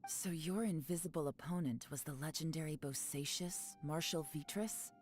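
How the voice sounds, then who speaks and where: A woman voicing a composed, thoughtful investigator in a video game, measured and analytical. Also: low-pitched, a little mellow, faint music behind, natural voice. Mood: questioning.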